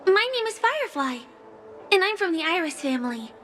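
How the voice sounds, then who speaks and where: A woman's voice: very high-pitched, sing-song, a little fast, fairly bright tone, soft background music, exaggerated voice. A woman voicing a sweet, polite young female performer and friendly guide in a video game. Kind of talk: scripted video game dialogue.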